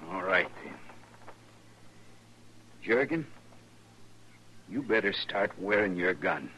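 Proud hot-tempered rancher, very sharp forceful voice, blunt, old radio western, light noise. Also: male voice, deep voice, expressive, slow, heavily acted. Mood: grim, threatening. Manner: blunt, confrontational. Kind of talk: 1950s radio western acting. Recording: noisy old radio recording.

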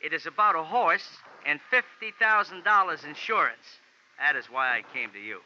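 Touchy pint-sized ex-jockey, pompous, animated delivery, sharp edge, dull vintage radio sound. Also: male voice, a little high, normal speed. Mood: indignant. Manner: pompous, wordy, stiffly formal street talk. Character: small, cocky, touchy male ex-jockey. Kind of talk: 1940s radio drama character acting. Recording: dull, hissy 1940s radio recording.

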